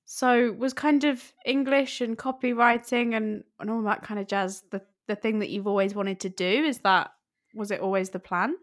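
A woman hosting a casual interview, enthusiastic in her reactions and quick to laugh. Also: a little high, steady tone. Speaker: podcast host.

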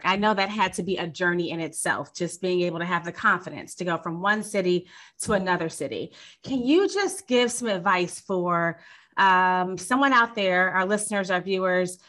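A woman's voice: fairly low pitch, animated, brisk, fairly bright tone. A woman hosting a podcast interview, upbeat and casual, asking questions with a few fillers. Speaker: podcast host.

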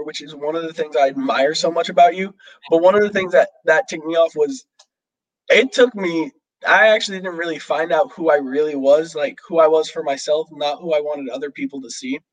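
A young man talking casually with a fellow student, his voice sitting slightly high. He rambles and restarts often, packing in fillers as he works through his thoughts. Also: a little fast, highs cut off. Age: young adult. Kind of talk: casual chat.

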